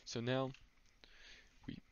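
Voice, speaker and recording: A man explaining code as he types, thinking aloud with small slips. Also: slow. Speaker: coding tutor. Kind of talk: screen-recorded coding walkthrough. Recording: dull lo-fi mic with noise. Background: keyboard typing and clicks.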